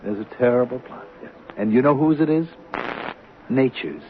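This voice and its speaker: An actor in a radio drama playing a blunt, exasperated theatrical husband who speaks emphatically and pleads. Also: male voice, medium pitch, expressive, normal speed, lightly acted. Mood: placating, weary. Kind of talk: 1970s radio drama acting. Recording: dull, hissy old radio recording.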